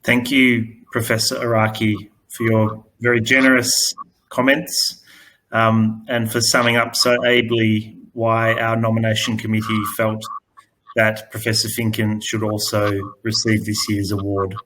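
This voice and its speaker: A man chairs a conference session and hands over to the next speaker with polite, measured words. His voice sits low and stays flat, with a bright edge. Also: a little hiss or hum, low-quality recording. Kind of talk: formal introduction.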